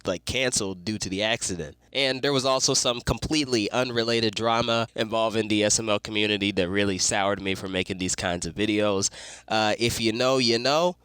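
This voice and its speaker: A man's voice: lowish voice, fairly bright tone, no room sound. A young man hosting a casual commentary video while sick, his voice a little off, talking in slangy, loose speech with fillers and the odd swear. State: sick, voice sounds off. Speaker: YouTube commentary host.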